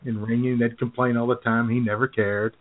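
A man co-hosting a podcast with an ordinary mid-range voice. His sound is thin and narrow, like a phone line. He tells stories in a relaxed way and laughs often. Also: mid-range voice, brisk. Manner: laid-back, laughing storyteller. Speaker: podcast co-host. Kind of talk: casual chat. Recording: tinny phone-like lo-fi audio.

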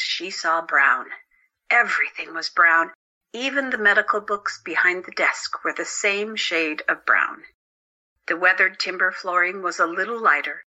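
A woman's voice: fairly low pitch, pushed and bright, muffled recording. A woman narrating a scripted dramatic story as an audio drama narrator. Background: music.

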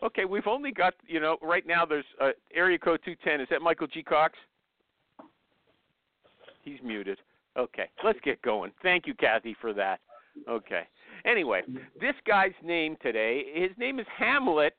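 A man hosting an informal live interview, blunt and quick to interrupt and steer his guest, heard over a narrow phone connection. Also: on the high side, big pitch swings, dry recording. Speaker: host interviewing a guest on a live online show. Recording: narrow, thin phone-line sound.

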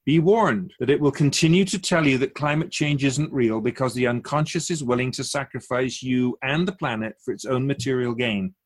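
A man playing a wise, otherworldly spirit in a radio drama, patient and gently teasing, speaking in a measured, formal way with musing questions. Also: mid-range voice, lightly acted. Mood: solemn, earnest.

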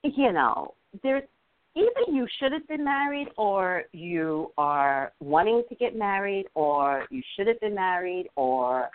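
A woman's voice: lowish voice, sing-song, unhurried, no room sound, phone-quality sound. A woman hosting a call-in psychic reading, chatty, repeating words for emphasis. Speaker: psychic radio host.

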